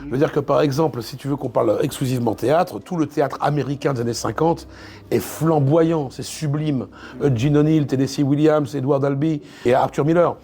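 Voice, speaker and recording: A man's voice: medium pitch, brisk, mellow, breathy edge. A man talking casually as an interview guest, opinionated and colloquial, with emphatic repetitions. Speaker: interview guest, literature video creator.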